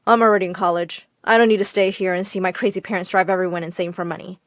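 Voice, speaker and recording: A woman voicing a calm, tired young man, the oldest brother, speaking softly. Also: lowish voice, fast, no room sound, clean studio sound, voice pushed down, natural voice. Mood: weary. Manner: soft-spoken, tired.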